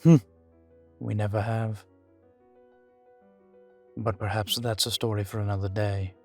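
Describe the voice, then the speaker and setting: A man playing a guarded, world-weary vampire informant in an audio roleplay, speaking in formal, measured phrases, proud yet fond. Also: fairly low pitch, flat delivery, darkish tone. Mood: disdainful, proud. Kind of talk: scripted audio roleplay to the listener. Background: background music.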